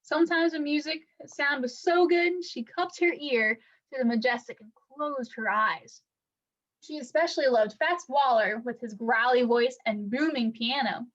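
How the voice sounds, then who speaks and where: A woman reading a picture book aloud for children like a teacher, with expressive, deliberate storytelling. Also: sits high, muffled recording.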